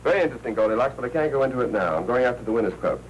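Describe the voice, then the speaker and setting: Actor as a brisk, commanding 1940s newspaper editor. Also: male voice, mid-range voice, expressive, natural voice. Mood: dismissive, firm. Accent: American. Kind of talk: 1940s crime film acting. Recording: noisy 1940s film soundtrack.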